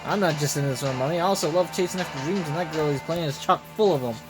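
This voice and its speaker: A man's voice: fairly high pitch, fast talker, over music, close to an everyday voice. A man voicing a roguish, greedy merchant in a video game, with a folksy, breezy, wheedling manner. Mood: breezy, scheming. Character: roguish greedy male merchant, smugly philosophical schemer.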